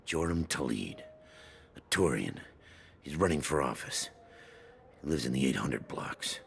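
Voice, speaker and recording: Surly, very deep-voiced crime boss. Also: male voice, lively intonation, unhurried, vocal fry, slight background noise, exaggerated voice. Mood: grudging. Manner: curt, defiant. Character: arrogant, surly male crime boss under interrogation. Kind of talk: video game dialogue.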